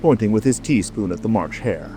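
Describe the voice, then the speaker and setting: A man's voice: lowish voice, expressive, a little fast, noisy background. A man reading scripted story narration as an audiobook narrator. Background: music.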